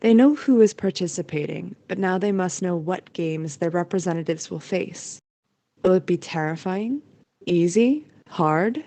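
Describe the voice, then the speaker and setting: A woman's voice: on the low side, expressive, unhurried, mellow, no echo. A woman narrating a scripted audio drama as its storyteller.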